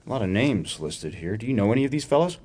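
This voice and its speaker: Low-voiced, wry professor. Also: male voice, very animated, fast talker, a little mellow, slightly rough. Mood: probing, persuasive. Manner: dryly sardonic, precise, articulate. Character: wry, probing male academic research professor. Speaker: actor in an audio drama. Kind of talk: radio theatre acting, dramatic dialogue.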